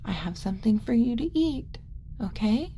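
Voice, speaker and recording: A woman voicing a kind, flustered alien mother in a soft-spoken ASMR roleplay, gentle and cooing, often dropping into a whisper. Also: a little high, lively intonation, normal speed, a little hiss or hum, close to an everyday voice. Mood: tender, cooing. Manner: gentle, cooing, hesitant muttering to herself.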